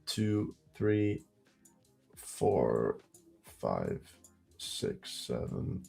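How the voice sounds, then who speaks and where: A man's voice: lowish voice, creaky, dark tone. A man with a heavy Belgian accent hosting a live trading stream, thinking aloud as he counts through trades and results.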